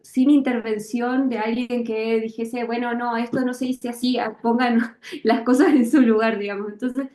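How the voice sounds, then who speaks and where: Woman writer, higher voice, halting reflective talker, roomy echoey sound. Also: steady tone, dark tone. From Misiones, Argentina. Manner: hesitant, searching for words, many fillers and restarts. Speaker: author interviewed about her book. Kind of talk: thoughtful conversational interview.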